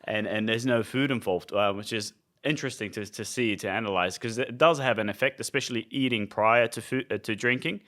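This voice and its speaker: A young man from Iraq co-hosts a relaxed podcast. His voice is on the low side, and he explains things in a conversational way, with plenty of fillers. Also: a little fast, hardly any pauses. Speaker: podcast co-host, nutrition coach. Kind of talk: casual podcast chat.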